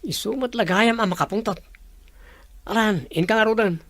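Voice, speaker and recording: A flustered household worker frets about his boss, as a man acts him out. His gravelly voice sits at a middle pitch and is recorded dry. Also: moderate pace, fairly bright tone, no echo. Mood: chatting. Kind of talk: Ilocano audio drama acting.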